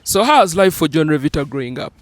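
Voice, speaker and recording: A man's voice: on the high side, lively intonation, quick pace, no echo. A young man with a Ugandan accent hosting an interview, upbeat and full of fillers, switching between English and Luganda. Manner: upbeat, many fillers like "uh" and "you know". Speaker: interview host.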